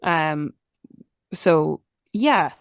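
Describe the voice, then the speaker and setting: Young Irish woman, lowish voice, candid and chatty interview guest, dry recording. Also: animated, extremely slow, creaky, no room sound. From Ireland. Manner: chatty and candid, many restarts and "like" fillers. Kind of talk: casual conversational interview.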